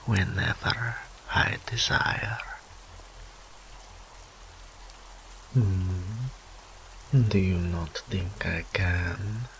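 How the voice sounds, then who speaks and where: A man voicing a seductive, arrogant incubus demon in an intimate roleplay audio drama, breathy and often whispering, with teasing pauses. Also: low voice, a bit bright, slight background noise, heavily acted, rough recording. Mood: taunting, seductive. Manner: slow, teasing, drawn-out phrases with pauses.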